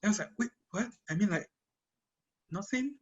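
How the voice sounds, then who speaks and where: Young malaysian guy, chinese is his first language, stumbles and repeats himself, kinda high pitched. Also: lively intonation, slightly rough, dull audio. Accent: Malaysian Chinese English. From Johor Bahru, Malaysia. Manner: halting, repeats words, laughs often. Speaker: guest. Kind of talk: casual chat.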